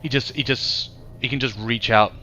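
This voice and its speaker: A man's voice: mid-range voice, steady tone, moderate pace, sharp tone, lo-fi sound, faint music behind. A man with a New Zealand accent running a live tabletop game as game master, loose and playful, punchy when he narrates big hits.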